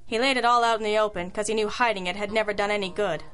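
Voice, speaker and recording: A woman playing a sharp, wry private detective in an old-school radio drama, crisp and matter-of-fact, with compassion underneath. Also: mid-range voice, steady tone, racing, soft background music, natural voice.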